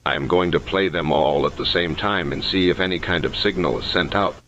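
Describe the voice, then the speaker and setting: A man acts a sceptical investigator with a deep voice, dictating case notes in an analog horror story. He is deliberate and analytical, on a dull recording with faint noise behind him. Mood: measured, serious. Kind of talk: scripted analog horror narration.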